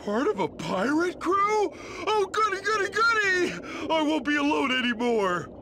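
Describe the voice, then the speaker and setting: A man's voice: very high voice, big pitch swings, normal speed, heavily acted. A man voicing a needy, dim, childlike starfish in a cartoon video game. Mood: eager. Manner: childlike, whiny, eager. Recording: clear background noise.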